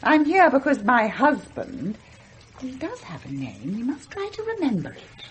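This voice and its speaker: An actress playing a sensual, teasing upper-class daughter of the house in a radio drama, languid and imperious, with an English accent. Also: female voice, on the high side, wildly swooping pitch, unhurried, a little hiss or hum. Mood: brittle.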